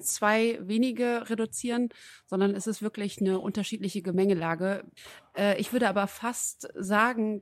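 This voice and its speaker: A woman's voice: mid-range voice, no echo. A woman in her thirties, a town mayor, answering interview questions earnestly with frequent fillers. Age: 30s.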